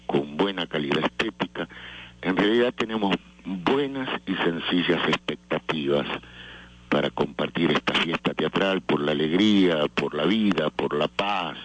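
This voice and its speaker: An older man, a theatre organizer, answering interview questions thoughtfully with many pauses and fillers. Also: a bass voice, fairly bright tone, poor audio. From not Venezuela.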